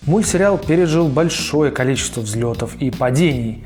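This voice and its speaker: A man's voice: medium pitch, lively intonation, normal speed. A young man narrating a video essay in a conversational, slangy and opinionated way. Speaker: host of an animation review channel. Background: background music.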